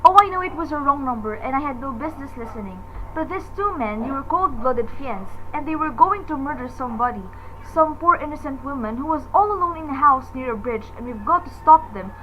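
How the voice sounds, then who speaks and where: A woman's voice: high voice, animated, a little fast, fairly bright tone, a little hiss or hum, rough recording. A woman playing a frail, nervous housewife in a radio drama, agitated, imperious and melodramatic. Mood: agitated, indignant. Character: frail, nervous, demanding housewife alone at night.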